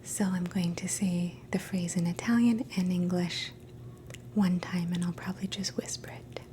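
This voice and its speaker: Lady whispering an asmr lesson, slow and gentle, mellow soft tone, faint hiss behind. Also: fairly low pitch, fairly even intonation. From Canada. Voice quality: soft whisper, mostly unvoiced. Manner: slow, gentle, repeats each phrase. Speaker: ASMR host teaching phrases.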